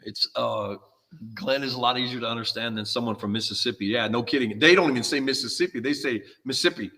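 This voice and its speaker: A man's voice: mid-range voice, quick pace, sharp tone, big-room sound, recorded from a distance. A man co-hosting a casual live car show who tells stories with laughter and imitates how other people talk. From California. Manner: laughs while telling stories, mimics others' speech. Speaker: co-host. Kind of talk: casual chat.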